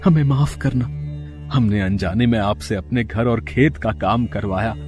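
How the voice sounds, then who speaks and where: A man playing a humble, kind-hearted, devout father in a devotional storybook tale, gentle and reverent. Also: lowish voice, measured pace, over music, lightly acted. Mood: apologetic, reverent.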